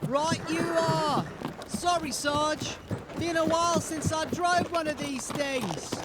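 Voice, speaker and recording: Eager, very high-voiced young driver. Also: male voice, slow pace. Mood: sheepish, eager. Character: eager, apologetic young male carriage driver, junior watchman. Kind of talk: fantasy audio drama acting. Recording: loud clattering carriage noise behind.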